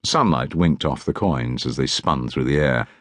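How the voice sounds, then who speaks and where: A man's voice: extremely low pitch, moderate pace, fairly bright tone, no room sound, studio quality. A man reading historical fiction as a scripted audiobook narration.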